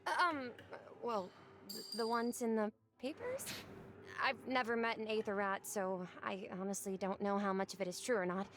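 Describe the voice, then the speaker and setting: A woman's voice: mid-range voice, moderate pace, a bit bright, slight background noise, natural voice. An actress playing a naive, bookish young woman in a 1930s-set game, earnest, precise and a little hesitant. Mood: nervous, curious.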